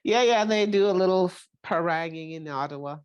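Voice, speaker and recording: A woman's voice: fairly low pitch, brisk, highs cut off. A woman taking part in a webinar over a video call, guessing answers in a hesitant way. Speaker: webinar participant.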